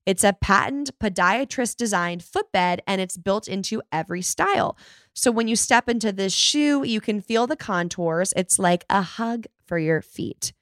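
A woman's voice: medium pitch, animated, measured pace, a bit bright, dry recording, clean studio sound. A woman podcast host delivering a conversational sponsor read in a personal, first-person way.

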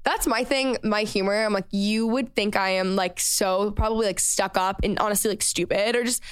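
A woman's voice: medium pitch, fairly even intonation, moderate pace, clear, resonant tone, no room sound. A woman in her twenties hosting a podcast, chatting casually with a sarcastic, filler-heavy way of talking. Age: 20s. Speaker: podcast host. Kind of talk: casual chat.

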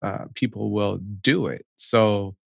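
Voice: deep voice, takes it slowly, vocal fry, husky, no echo, clean studio sound. A host interviewing a guest, asking loosely phrased questions and adding short listening sounds. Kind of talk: conversational interview.

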